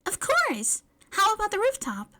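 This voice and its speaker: An exuberant teenage girl inventor, played by a woman, very high in pitch and brash. She talks in loud, emphatic bursts, full of eager confidence, as in a fan-made audio story. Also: measured pace, full character voice. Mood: excited, eager. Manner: loud, excitable, emphatic delivery. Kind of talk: fan fiction audio drama.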